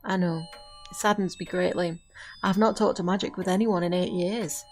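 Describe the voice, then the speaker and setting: Measured, earnest witch mentor, played by a woman. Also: on the low side, fairly even intonation, darkish tone, soft background music, close to an everyday voice. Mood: wistful. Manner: measured, instructive, formal phrasing. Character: earnest witch mentoring a novice, grieving but insistent. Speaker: voice actor in an amateur audio drama. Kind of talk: audio drama acting.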